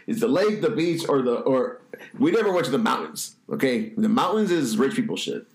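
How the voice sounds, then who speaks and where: A man's voice: mid-range voice, big pitch swings, a little fast, a little mellow. A man hosting a podcast, with a stuffy nose, chatting loosely and bluntly, with some swearing. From New England. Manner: loose, profane, opinionated riffing. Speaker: podcast host. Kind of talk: casual chat. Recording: echoey room.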